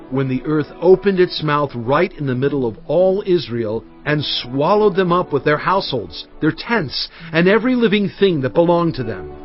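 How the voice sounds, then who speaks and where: An actor playing a solemn prophet in dramatized scripture, exhorting his people in earnest, measured oratory with weighty pauses. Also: on the high side. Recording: dull studio track over faint music.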